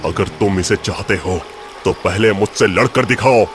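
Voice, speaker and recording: Man acting a deep, menacing water demon. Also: a little slow, noisy background, natural voice. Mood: menacing challenge. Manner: menacing, challenging. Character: fierce male water demon guarding his lake kingdom. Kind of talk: animated fairy-tale voice acting.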